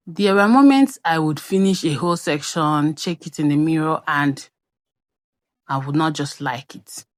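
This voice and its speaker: A young adult woman with a Nigerian accent tells a personal story in a conversational, expressive way, as a craft vlogger's voice-over. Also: lowish voice, no echo, clean studio sound.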